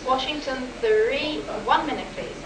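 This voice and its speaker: Polite, high-pitched woman as switchboard operator. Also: measured pace. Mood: polite, businesslike. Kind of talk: live sci-fi radio play acting. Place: live convention performance, recorded from the room. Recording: echoey, distant, noisy room recording.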